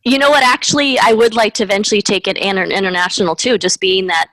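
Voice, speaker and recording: A woman's voice: medium pitch, fairly even intonation, fast, a bit bright, no echo, rough recording. A woman, a mortgage professional whose first language was Spanish, chats as an interview guest in an upbeat way, laughing between points. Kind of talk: conversational interview.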